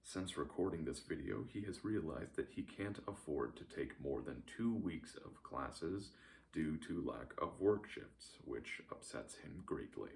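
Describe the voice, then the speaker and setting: A man reading a scripted recap as a narrator, with a dry, deadpan delivery. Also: a bass voice, unhurried, gravelly.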